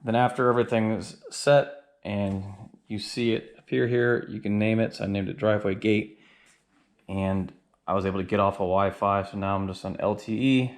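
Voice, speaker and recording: A man's voice: fairly low pitch, fairly even intonation, moderate pace, no room sound. A man giving a casual, plainspoken hands-on walkthrough, explaining things step by step as he reviews and installs a product. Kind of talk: casual hands-on how-to narration. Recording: clear background noise, ambient sound.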